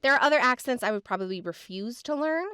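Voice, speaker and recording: A woman's voice: fairly high pitch, animated, fast talker, sharp tone, clean tone, dry recording, studio quality. A woman hosting a podcast, chatting effusively with lots of fillers. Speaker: podcast host. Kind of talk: casual podcast chat.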